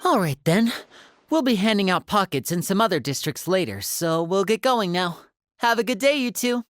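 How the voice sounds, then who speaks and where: A woman playing a composed, gentle young stage magician in a game, speaking politely in measured explanations. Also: mid-range voice, expressive, no echo, clean studio sound, close to an everyday voice. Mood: gentle, wistful. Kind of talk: anime-style game voice acting.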